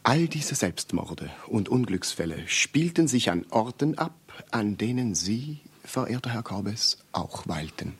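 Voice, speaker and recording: Husky, pedantic amateur sleuth. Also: male voice, lowish voice, big pitch swings, unhurried, few pauses, exaggerated voice. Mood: grave, insistent. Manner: formal, elaborate, precise declamation. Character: pedantic, obsessive male amateur sleuth, formal and insistent. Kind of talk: German radio play acting.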